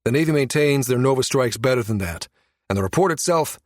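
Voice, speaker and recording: A man voicing an injured navy pilot captain in an audiobook, blunt, steady and matter-of-fact. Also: mid-range voice, a little fast, dry recording, clean studio sound, close to an everyday voice. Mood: indignant.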